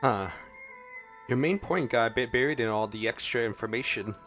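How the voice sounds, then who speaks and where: A man's voice: mid-range voice, moderate pace. A man giving casual gameplay reaction commentary as a streamer. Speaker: game streamer. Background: faint game music.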